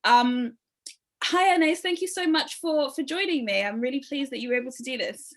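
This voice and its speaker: A woman's voice: high-pitched, normal speed. A young woman hosting a casual online interview, upbeat and gushing, with many fillers. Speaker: interview host.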